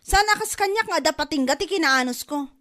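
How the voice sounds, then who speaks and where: A woman's voice: high-pitched, lively intonation, fairly quick, bright, forceful tone. A woman playing a long-suffering, fed-up wife in a comedy drama, with an Ilocano accent. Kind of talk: comedy drama podcast acting.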